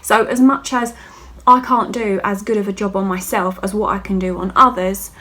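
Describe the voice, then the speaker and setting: A woman's voice: medium pitch, fairly even intonation, moderate pace, sharp tone. Chatty woman vlogging. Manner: chatty, rambling, talks straight to viewers. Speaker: vlogger and hairdresser. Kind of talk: casual video diary chat.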